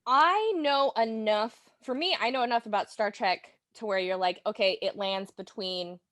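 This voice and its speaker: A woman's voice: mid-range voice, moderate pace, a bit bright, no echo. Woman guest chatting casually, very dry sound. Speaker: podcast guest. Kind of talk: casual chat.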